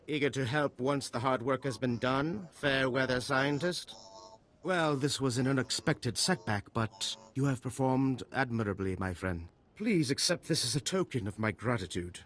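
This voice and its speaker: An actor playing a pompous, excitable and callous eccentric scientist in a video game, with theatrical delivery. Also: male voice, medium pitch, moderate pace, slight background noise, lightly acted. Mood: grandiose. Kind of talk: video game character dialogue.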